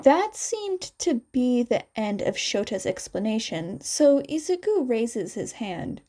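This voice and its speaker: A woman's voice: a little high, big pitch swings, takes it slowly, mellow. A woman reading scripted fan fiction as a narrator. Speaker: podfic narrator and reader.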